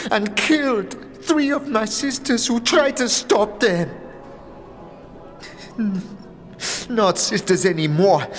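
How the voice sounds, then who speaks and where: A woman as a slow-spoken, bitter slum woman. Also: medium pitch. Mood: grieving, outraged. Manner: slangy, scornful, ungrammatical speech. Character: bitter, grieving street woman of a fantasy slum. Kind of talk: fantasy audio drama acting.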